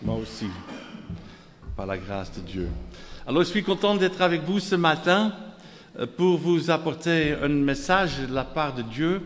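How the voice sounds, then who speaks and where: A man's voice: on the high side, lively intonation, measured pace. A man with a Dutch accent, preaching a sermon, reading scripture and repeating phrases in lists while calling out to the congregation. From Netherlands. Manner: reads scripture aloud in quotes; calls out to the congregation. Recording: long hall reverb.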